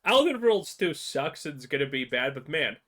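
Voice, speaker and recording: Man, lively voice, bright tone, casual scornful reaction commentary. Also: fairly high pitch, moderate pace. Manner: blunt, scornful, ranting. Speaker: streamer reacting to a video.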